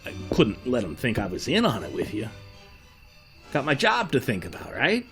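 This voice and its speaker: An actor playing a talkative, world-weary security guard in an audio drama, with a folksy, plain-spoken manner. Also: male voice, medium pitch, wildly swooping pitch, normal speed, fairly bright tone, faint music behind. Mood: apologetic. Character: world-weary, talkative male night-shift department store security guard.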